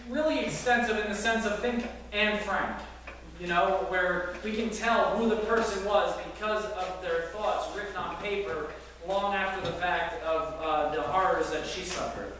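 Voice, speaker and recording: A man's voice: high voice, fairly even intonation, moderate pace, very echoey, a crowd in the background. A man preaching a sermon in a church, telling a story earnestly with some fillers, recorded from far back in the church. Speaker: pastor. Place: church sanctuary with congregation. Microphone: far camera at back of church.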